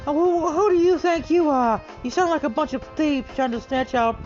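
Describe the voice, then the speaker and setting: A man voicing a brash, sharp-tongued young woman adventurer who talks in an indignant, mouthy way. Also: extremely high pitch, moderate pace. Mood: defiant. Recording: muffled, faint music behind.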